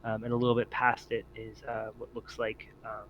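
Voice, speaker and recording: A man acting as game master, casually narrating and explaining rules with a hesitant, halting delivery. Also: fairly low pitch, monotone, dull audio, slight background noise.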